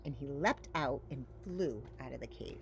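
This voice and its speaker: A woman's voice: rumbling low, lively intonation, moderate pace, gravelly. A woman reading a children's picture book aloud as a story-time reader, expressively and with dramatic emphasis. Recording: faint background noise.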